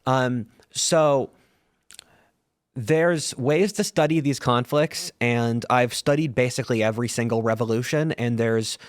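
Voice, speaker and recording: Male debate guest, mid-low pitch, dry close mic, insists on finishing his points. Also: a little slow, no room sound. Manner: assertive, argumentative. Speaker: panel guest. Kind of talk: debate on a podcast panel.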